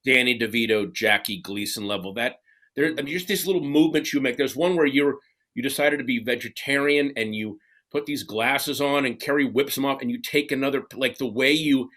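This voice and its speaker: Male panelist: bright tone, casual, effusive, halting reminiscence. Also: mid-range voice. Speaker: cast member on reunion panel.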